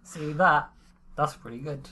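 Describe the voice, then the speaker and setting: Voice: mid-range voice, unhurried, sharp tone, breathy edge. A podcast co-host in casual chat who swears freely, laughs mid-sentence and often trails off and restarts.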